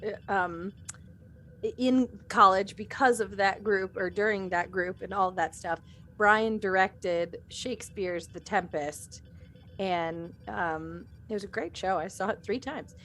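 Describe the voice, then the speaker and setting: A woman's voice: medium pitch, measured pace, a bit bright. A woman in casual group conversation as a podcast cast member, speaking informally and a little hesitantly. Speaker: podcast cast member reading fan questions. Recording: clearly audible background noise.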